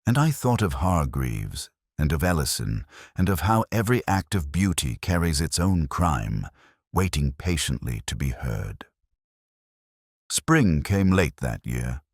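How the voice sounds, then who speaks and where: A man reading a scripted first-person mystery story in a reflective, solemn, deliberate, melancholy manner. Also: very deep voice, very animated, no room sound, clean studio sound. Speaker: narrator of a detective mystery story.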